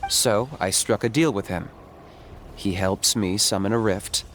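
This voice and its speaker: Casting: a cool-headed, low-voiced hunter, even and pragmatic. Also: male voice, slight background noise. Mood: flat. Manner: measured, matter-of-fact. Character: calm young male hunter, pragmatic and reserved.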